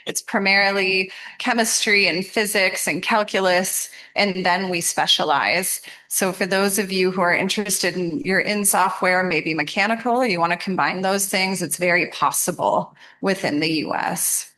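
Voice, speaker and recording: A woman's voice: fairly low pitch, normal speed, hardly any pauses, pushed and bright, poor audio. Encouraging woman presenter. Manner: encouraging, affirming. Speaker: program presenter and host. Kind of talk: interactive presentation to students.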